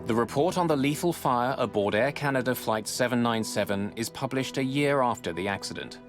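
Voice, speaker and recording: A man giving scripted, dramatic documentary narration in a measured, grave way, close on a studio mic. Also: medium pitch, lively intonation. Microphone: close studio voice-over mic. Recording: music bed under narration.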